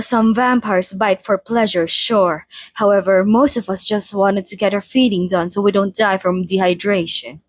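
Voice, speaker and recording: A woman playing a polite, chatty old vampire being interviewed in an ASMR roleplay, speaking in a slightly formal, gently wry way. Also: medium pitch, no echo, close to an everyday voice. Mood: polite, reflective. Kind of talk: ASMR roleplay, in-character monologue to listener.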